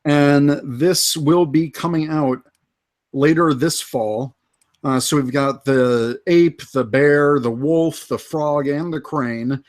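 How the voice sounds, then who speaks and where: Man, podcast co-host, slow steady pace, slightly raised pitch, lots of uh fillers, live-stream chat. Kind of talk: casual news chat, live.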